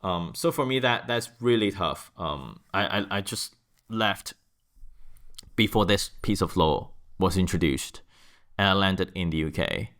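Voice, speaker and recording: Deep-voiced Hong Kong man, thoughtful. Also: animated, measured pace, a bit bright. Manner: thoughtful, frequent restarts and fillers. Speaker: guest. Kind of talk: interview answers. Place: office.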